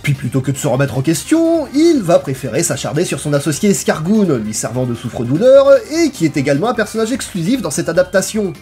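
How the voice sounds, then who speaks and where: Lively, animated narrator of a video essay. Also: medium pitch, brisk, hardly any pauses. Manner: expressive, emphatic, colloquial delivery. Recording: voice over background music.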